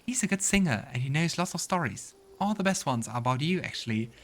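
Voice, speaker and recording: A man's voice: on the high side, animated, fast talker, voice pushed up. A man voicing a cheerful, admiring young village woman in a fantasy game, chatty and earnest. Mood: fond, admiring.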